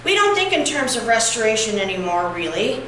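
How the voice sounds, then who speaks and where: A middle-aged woman pastor preaching a reflective, measured sermon at a pulpit, heard from a far camera. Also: on the high side, sing-song, bright, forceful tone, slight background noise. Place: church sanctuary, at a pulpit. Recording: very echoey church from a distance.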